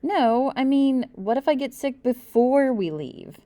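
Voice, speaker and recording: A woman's voice: high-pitched, expressive, dark tone, no room sound, voice pushed up. A woman voicing an anxious young boy in a children's storybook, fretting with worried questions. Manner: fretful, rapid what-if questioning. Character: anxious, worrying young boy with big fears. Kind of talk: children's storybook read-aloud.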